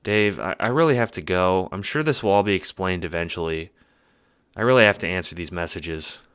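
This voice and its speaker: A man voicing a calm, weary troll girl who died, speaking in a flat, deadpan way in a fan audio drama. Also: sits low, fairly quick, smooth.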